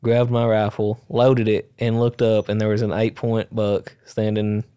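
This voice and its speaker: A laid-back man co-hosts a podcast and tells stories in a dry, understated way. His voice stays flat in pitch and sounds smooth. The recording is close and dry. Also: unhurried, no echo. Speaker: podcast co-host. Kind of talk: casual podcast chat, storytelling.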